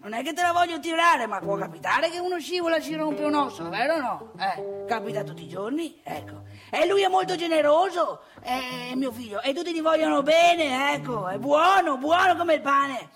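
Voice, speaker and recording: Una donna che interpreta in un monologo teatrale comico un vecchio marito chiacchierone, vanitoso e brontolone, con del Nordest italiano e modi enfatici. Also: female voice, sits high, expressive, normal speed, over music. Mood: proud, insistent. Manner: chatty, emphatic, repetitive, tag questions and exclamations. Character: garrulous old married man, nagging, boastful, grumbling father. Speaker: stage monologue performer. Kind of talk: comic theatre monologue addressed to the audience.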